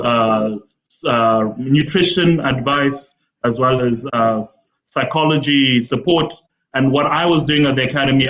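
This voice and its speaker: A man from Zimbabwe in his forties, a football development official, explaining his career at a measured pace in a live online interview, with frequent fillers. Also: medium pitch, dull audio. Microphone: video call.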